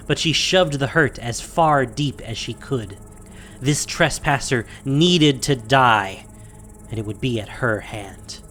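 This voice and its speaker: A man narrating an audio drama in a measured, dramatic storytelling manner. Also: medium pitch, fairly bright tone, slight background noise. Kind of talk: dramatic scripted fantasy narration.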